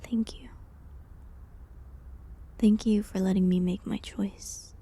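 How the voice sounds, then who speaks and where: Woman acting a breathy, sorrowful condemned witch. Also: mid-range voice, fairly even intonation, warm tone. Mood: sad, resigned. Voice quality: breathy, half-whispered. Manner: slow, hesitant, pleading, slightly formal old-fashioned wording. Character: gentle condemned young woman accused of witchcraft, sorrowful, resigned. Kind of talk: intimate audio roleplay drama in medieval setting.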